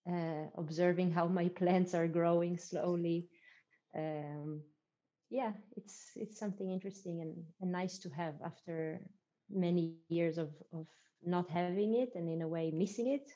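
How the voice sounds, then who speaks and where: Woman from Israel, low voice, mellow tone, hesitant casual talk, dull lo-fi audio. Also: measured pace. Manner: reflective, hesitant with many fillers. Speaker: podcast guest, dancer. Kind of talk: casual conversational interview.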